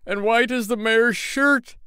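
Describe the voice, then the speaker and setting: A man voicing a small, boastful, jumpy tailor in a storybook reading, shrill and excitable. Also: unhurried, smooth, no echo, clean studio sound. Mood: frantic.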